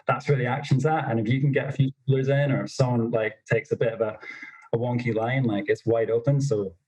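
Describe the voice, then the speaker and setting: A young man telling stories as a podcast guest, in a self-described monotonous voice with lots of fillers. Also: medium pitch, quick pace, slightly rough, low-quality recording. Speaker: snowboard instructor trainer, podcast guest. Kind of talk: casual interview storytelling.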